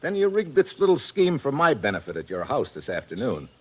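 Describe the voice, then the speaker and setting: An actor playing a wisecracking, confident American private investigator in a vintage radio drama, talking in slangy, brash lines. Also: male voice, medium pitch, huge pitch swings, brisk, noisy background. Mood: accusing. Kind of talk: 1940s-50s radio drama acting. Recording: vintage radio recording with music.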